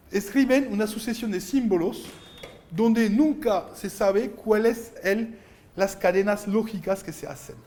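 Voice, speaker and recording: A man whose first language is French, lecturing a university math class and explaining each step with halting restarts. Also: high-pitched, slight background noise. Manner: explains step by step, insistent, gently scolding; halting, restarts while writing on board. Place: lecture hall.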